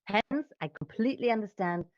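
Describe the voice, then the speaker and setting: A woman explaining things conversationally as a voice coach, with an expressive, emphatic way of talking. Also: medium pitch, brisk, no room sound, dull audio.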